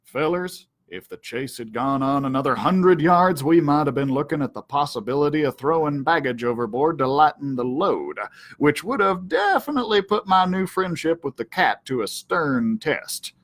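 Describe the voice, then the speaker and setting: A man's voice: fairly high pitch, expressive, normal speed, a bit bright. A man voicing a boastful, folksy ranch dog who narrates his own storybook adventures in a self-important, theatrical way. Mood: proud. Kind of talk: first-person storybook narration.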